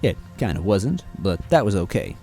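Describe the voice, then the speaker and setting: A man narrating a fan-fiction story aloud in a playful, animated way. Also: fairly low pitch, moderate pace, faint music behind.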